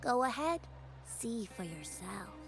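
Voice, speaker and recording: An actress playing a playful, sly young Taoist fortune-teller in a video game, with a teasing, casual, singsong manner. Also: female voice, a little high, sing-song, slow pace, creaky, full character voice.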